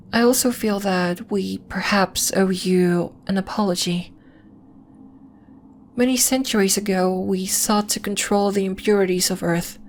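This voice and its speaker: Celestial female lunarian healer played by a woman, ASMR audio drama. Slow, measured, soothing, slightly superior; noticeable ambient noise behind the voice. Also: medium pitch, darkish tone, close to an everyday voice. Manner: soothing, measured, formal, faintly condescending. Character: gentle, lofty female lunarian healer, celestial moon being. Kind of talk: ASMR roleplay, scripted, addressed to the listener.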